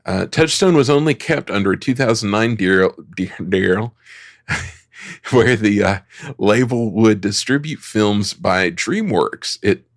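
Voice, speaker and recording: A man's voice: on the low side, lively intonation, slow, dry recording, clean studio sound. A man giving an informal history talk as a podcast panelist, conversational with dryly wry asides.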